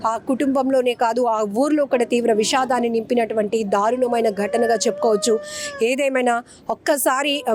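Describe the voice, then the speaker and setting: A woman news reporter delivering an emotional, earnest report, pleading and slowing on heavy phrases. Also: high-pitched. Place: outdoors near a hospital morgue.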